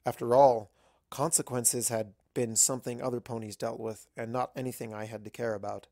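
A man reading a first-person story aloud as an expressive narrator. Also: medium pitch, fairly quick, dry recording. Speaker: narrator of fan fiction.

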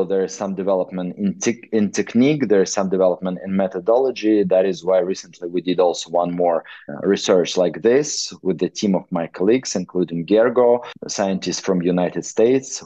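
A deep, mellow-voiced Ukrainian-accented man gives a slide lecture over a video call, speaking halting non-native English with frequent pauses and fillers. The sound is dry and dull, like lo-fi call audio. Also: dry recording. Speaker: guest lecturer, weightlifting coach. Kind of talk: online presentation lecture.

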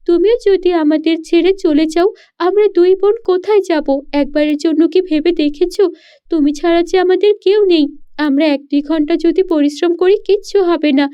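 An earnest, devoted young daughter in a cartoon story, played by a woman. The voice is pitched very high, pleading and insistent. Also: steady tone, moderate pace, mellow, dull audio.

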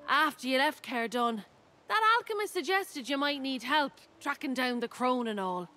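A woman's voice: sits high, lively intonation, normal speed, sharp tone, soft background music. A woman playing a breezy, teasing treasure hunter in a video game, with a wry, playful delivery. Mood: breezy, scheming. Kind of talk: scripted game voice acting.

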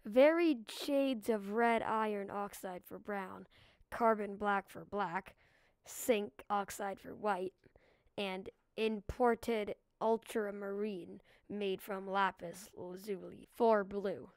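Girl or woman reading a kids book aloud, slow, no room echo. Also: mid-range voice, steady tone. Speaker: reader of a children's book. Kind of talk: scripted read-aloud narration.

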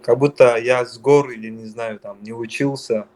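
A man's voice: mid-range voice, steady tone, moderate pace, darkish tone, slight background noise. A young man from Dagestan who answers questions on a call, hesitant and getting defensive.

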